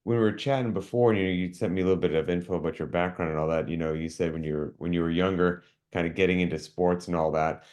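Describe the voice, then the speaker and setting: A man's voice: low-pitched, steady tone, quick pace, few pauses, darkish tone. A man hosting an interview podcast, curious and affirming, asking his guest questions in a casual way. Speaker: podcast host.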